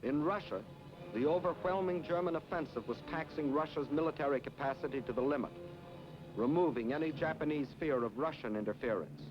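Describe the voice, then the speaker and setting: A 1940s American man delivers wartime documentary narration in a fairly high voice. His delivery is grave and emphatic, declaiming each phrase. The old film soundtrack is dull and limited, with prominent orchestral music underneath. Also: brisk. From United States.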